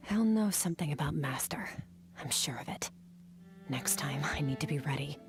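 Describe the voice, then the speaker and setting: A woman voicing a stoic, aloof young warrior in a video game, cool, terse and deadpan. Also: sits low, moderate pace, music in the background. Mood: resolute. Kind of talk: video game character acting.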